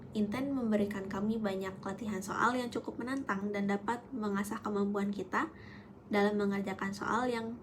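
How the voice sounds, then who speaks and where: A young woman in her late teens giving a prepared student testimonial in formal, measured phrases. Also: mid-range voice. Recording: clear background noise.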